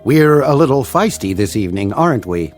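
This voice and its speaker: An actor playing a smooth, condescending middle-aged doctor in a radio drama, formal and measured. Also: male voice, medium pitch, big pitch swings, soft background music, natural voice. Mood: smooth, patronizing. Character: smooth, patronizing older male hospital doctor. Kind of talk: old-time radio drama acting.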